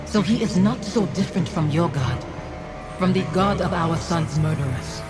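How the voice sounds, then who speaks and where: Mournful bitter ghost wife in a game cutscene, proud and deliberate, loud music behind. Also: female voice, lowish voice, lively intonation. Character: dead wife's ghost, bitter, wounded, haunting, proud. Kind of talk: dramatic video game acting.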